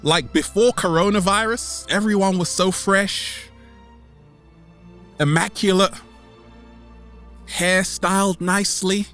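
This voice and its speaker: A man hosting a vlog as a comedic monologue to camera, theatrical, with dramatic pauses and repeated catchphrases. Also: high-pitched, fairly even intonation, a bit bright, soft background music. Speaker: vlog host.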